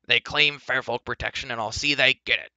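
A gruff storybook dwarf, acted out by a man, barks with very sharp force. He is bossy and short-tempered, snapping out his orders. The recording is dry and a bit dull. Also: mid-range voice, fairly even intonation, a little fast, no room sound. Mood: insistent, commanding. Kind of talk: audiobook character voice.

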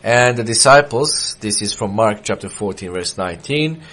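A middle-aged man whose first language is Serbian, giving a measured sermon with frequent pauses and fillers, quoting scripture in a small church. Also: lowish voice, expressive, a bit bright. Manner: measured, hesitant with many fillers. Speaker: preacher. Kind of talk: sermon reading and explaining quotes. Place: small church with wooden wall.

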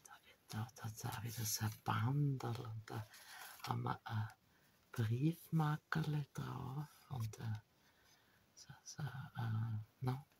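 A woman with a stuffy nose talks slowly through a craft journal, her voice gravelly with fry. She rambles, breaks off mid-sentence and teases herself. A faint noise sits under the recording. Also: a bass voice. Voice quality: chatty, self-deprecating, trails off mid-sentence. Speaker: crafting vlogger. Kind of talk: casual chat while showing a junk journal. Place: indoors at a table.

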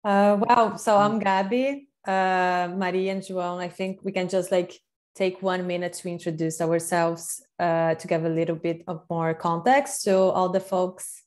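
A woman's voice: lowish voice, flat delivery, a little slow, a little mellow. Brazilian-accented woman moderating a panel. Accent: Brazilian Portuguese. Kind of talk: casual panel chat. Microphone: earphone headset on video call.

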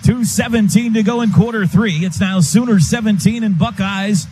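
High-pitched male football play-by-play announcer. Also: darkish tone. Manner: clipped, rapid-fire phrases. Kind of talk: live radio football commentary. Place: stadium radio booth. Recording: noisy stadium broadcast.

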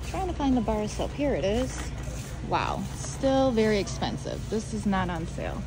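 A woman's voice: mid-range voice, very animated, moderate pace, music in the background, low-quality recording. A woman giving casual, chatty running commentary for a shopping vlog, thinking aloud as she goes. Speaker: family shopping vlogger. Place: large indoor warehouse store. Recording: clear background noise of warehouse store.

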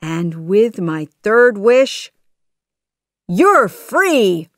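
A woman voicing a brave, quick-witted young male hero in a storybook, bold and urgent. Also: medium pitch, darkish tone, dry recording, studio quality.

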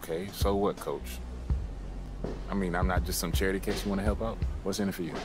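Deep-voiced, defiant young athlete played by a man. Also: steady tone, quick pace, over music, lightly acted. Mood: guarded, conflicted. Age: young adult. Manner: guarded, defiant, casual. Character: guarded, defiant male high school athlete. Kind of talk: cinematic video game acting.

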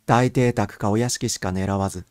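Low-voiced male audiobook narrator. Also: steady tone, normal speed, dry recording, clean studio sound. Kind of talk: scripted first-person mystery narration.

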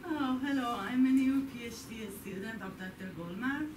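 A woman's voice: fairly high pitch, moderate pace, background noise. Young woman student, shy, self-correcting, distant echoey sound. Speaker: PhD student. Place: small classroom with hard walls. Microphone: far camera. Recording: echoey room from a distant camera.

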